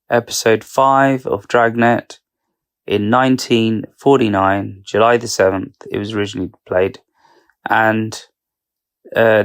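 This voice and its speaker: A man hosting, giving a casual episode introduction in a halting, deliberate way. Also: on the low side. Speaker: host.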